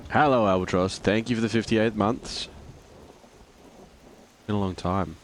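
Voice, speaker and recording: Croaky low-voiced man streaming a game. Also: unhurried, slight background noise. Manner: rambling, reads out chat and greets viewers. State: hoarse from the flu. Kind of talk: casual gameplay stream chat.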